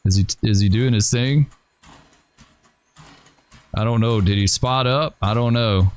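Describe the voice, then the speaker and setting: A man hosting a gaming channel, thinking aloud and rambling with hesitations as he plans moves in a train simulator game, his voice going hoarse. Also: on the low side, unhurried. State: losing his voice. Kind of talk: casual gameplay commentary.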